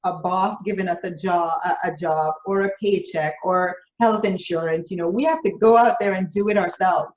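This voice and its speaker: A woman's voice: lowish voice, fairly even intonation, normal speed, a little mellow. A woman from Jamaica with a Jamaican accent, answering interview questions earnestly and building her points through repeated phrases. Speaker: business coach, interview guest. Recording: roomy, dull lo-fi audio.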